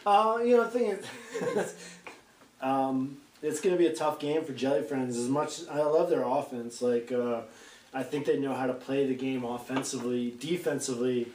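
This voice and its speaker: A man's voice: mid-range voice, moderate pace, darkish tone, slight background noise. A man co-hosting a sports show, talking in a put-on Boston accent with lots of swearing. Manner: exaggerated Boston slang, profane. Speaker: podcast co-host. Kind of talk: joking sports chat. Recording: echoey room, far from mic.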